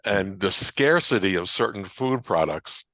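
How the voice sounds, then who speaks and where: A man speaking as a researcher and guest in a conversational panel discussion, explaining things in a halting way. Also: fairly low pitch, lively intonation, fairly bright tone, no room sound. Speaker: radio historian and researcher, interview guest.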